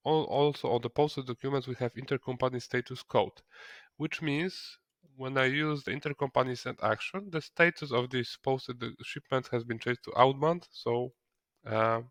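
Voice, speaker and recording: Guy doing a software tutorial, kinda hesitant, repeats words, medium pitch, dry sound. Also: no echo. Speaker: software trainer. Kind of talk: screen-recorded software tutorial, explaining step by step.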